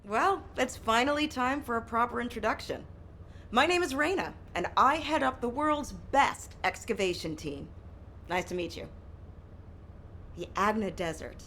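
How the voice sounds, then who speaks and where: A woman's voice: fairly high pitch, expressive, a little slow, a bit bright, slight background noise. A woman playing a confident, keen excavation team leader in a video game. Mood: confident, eager. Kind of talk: scripted game dialogue.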